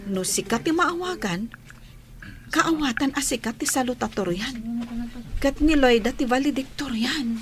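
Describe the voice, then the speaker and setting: An actress with an Ilocano accent playing an excitable, supportive teenage classmate in a radio drama. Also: female voice, high voice, measured pace, soft background music. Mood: teasing, assured.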